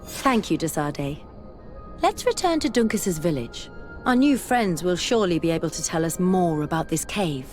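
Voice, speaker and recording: A woman plays a young female scholar in a fantasy video game, with a fairly low voice. She is keen and curious about the natural world, and she speaks earnestly in carefully formed sentences. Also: animated, normal speed, faint music behind, close to an everyday voice. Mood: eager. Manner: earnest, articulate. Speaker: companion character. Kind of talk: fantasy game dialogue acting.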